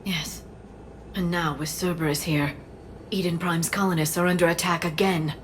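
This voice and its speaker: Woman voicing a solemn, lowish-voiced alien scholar. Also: steady tone, normal speed, a little hiss or hum, natural voice. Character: grave, thoughtful female alien scholar. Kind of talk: video game voice acting.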